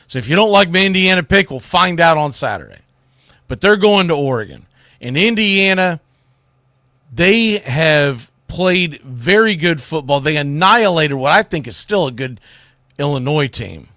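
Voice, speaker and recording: A man's voice: on the high side, lively intonation, normal speed, bright, forceful tone, dry recording, clean studio sound. A man hosting sports radio, giving opinionated college football commentary in a blunt, emphatic, colloquial way.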